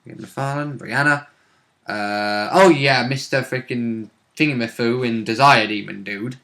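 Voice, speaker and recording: A man chatting casually as a tabletop game player, jokey and animated, with slangy asides. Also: medium pitch, a little slow. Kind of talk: casual chat.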